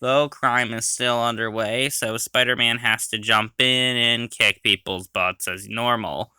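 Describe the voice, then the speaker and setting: A man's voice: medium pitch, fairly even intonation, unhurried, pushed and bright, clear, resonant tone, no echo, clean studio sound. A man giving an informal review as a voice-over host, conversational and hedging his opinions as he goes.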